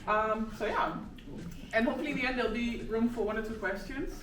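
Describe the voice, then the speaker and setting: A woman hosts a live session in a store, upbeat and a little halting as she talks. She is heard from some distance in an echoey room, with a crowd murmuring behind her. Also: fairly high pitch. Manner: upbeat, slightly halting with fillers. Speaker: event host. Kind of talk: hosting a live Q&A. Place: store listening party.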